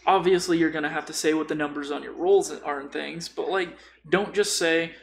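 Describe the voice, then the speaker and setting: A man chatting casually on a podcast about games, telling stories in an informal, animated, sweary way. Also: a little high, fairly quick, creaky. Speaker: podcast host or guest discussing tabletop games. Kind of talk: casual chat.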